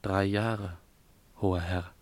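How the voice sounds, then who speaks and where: An actor playing a deferential, solemn court chamberlain in a radio drama, speaking formally and with measured gravity. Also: male voice, low-pitched, steady tone, no echo. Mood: solemn, proclaiming.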